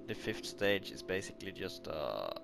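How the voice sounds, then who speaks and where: A young Norwegian man commentates on his game in English, his second language, in a modest, explaining manner. His voice sits low and has a gravelly edge. Loud game music plays behind him. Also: big pitch swings, moderate pace. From Norway. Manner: modest, explains while playing, gets loud when frustrated. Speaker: gameplay commentator. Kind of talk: casual gameplay commentary.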